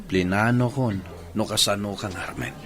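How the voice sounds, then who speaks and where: A man's voice: on the low side, normal speed, close to an everyday voice. An actor playing a big-hearted, teasing village man with an Ilocano Filipino accent, bantering in a comedy radio drama. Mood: earnest. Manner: animated, bantering.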